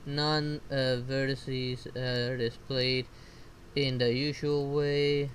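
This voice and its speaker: A man whose first language is not English, reading software documentation aloud slowly and haltingly and breaking off for casual asides. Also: medium pitch, level tone, slight background noise. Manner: slow halting reading with self-interrupting asides. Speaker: vtuber streamer. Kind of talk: reading software docs aloud while learning.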